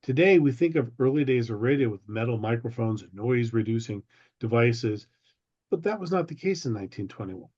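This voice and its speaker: A man giving a prepared history talk with slides over a video call, phrasing deliberately like a storyteller. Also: medium pitch, expressive, darkish tone, husky. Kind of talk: slide presentation, prepared lecture. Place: online video meeting. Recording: dull, lo-fi video call audio.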